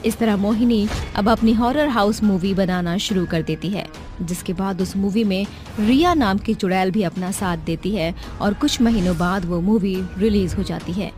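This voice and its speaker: A woman's voice: mid-range voice, normal speed, a little mellow. A woman narrating a cartoon story in a plain, scripted storytelling way. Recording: clear background music.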